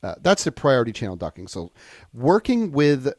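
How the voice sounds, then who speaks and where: A man explaining software in an unscripted tutorial, thinking aloud and halting as he goes. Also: medium pitch, wildly swooping pitch, dry recording, studio quality. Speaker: software trainer. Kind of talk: unscripted screen-share tutorial.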